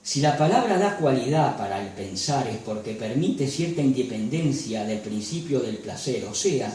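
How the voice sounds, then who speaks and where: A man reads a dense academic lecture in a measured, formal tone. His voice sits in an ordinary middle pitch. It is picked up from some distance and rings through a strongly reverberant hall. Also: darkish tone, a little hiss or hum. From Galicia. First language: Galician. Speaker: lecturer and photographer. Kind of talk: reading a prepared academic lecture.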